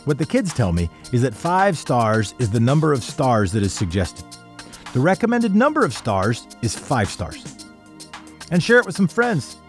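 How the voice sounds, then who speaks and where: A man closes out a local news podcast in a playful, mock-insistent tone. His voice sits in a middle pitch, and faint music plays underneath. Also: big pitch swings, normal speed. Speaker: podcast host. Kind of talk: show outro.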